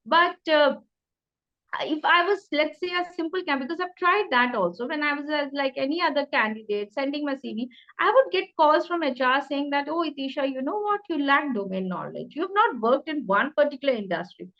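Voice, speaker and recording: High-voiced Indian-accented woman. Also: animated, a little fast, lo-fi sound. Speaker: interview guest, career changer. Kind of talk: conversational career interview.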